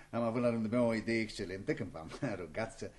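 An actor playing an eager, delighted elderly academician with effusive, theatrical delivery in a radio comedy. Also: male voice, lowish voice, a little mellow, close to an everyday voice. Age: older.

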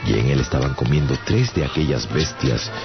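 A male actor playing an afflicted castaway traveler who tells his story earnestly and dramatically in a gravelly voice, in a radio drama. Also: a bass voice, expressive, fairly quick, heavily acted. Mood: bewildered, earnest. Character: afflicted English castaway man recounting his strange voyages. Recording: dull sound under prominent music. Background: music.

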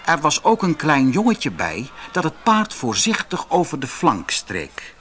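A man with a Dutch accent from the Netherlands reading a fairy tale aloud in expressive, scripted narration, with a slightly husky voice. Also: a little high, a little slow, slight background noise. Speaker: audiobook narrator.

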